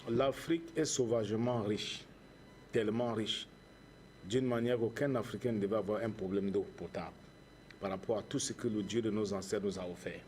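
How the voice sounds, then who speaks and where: Low-voiced Cameroonian man, measured and mellow. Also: fairly even intonation, a little hiss or hum. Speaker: charity founder and musician, interview guest. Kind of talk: earnest interview answers.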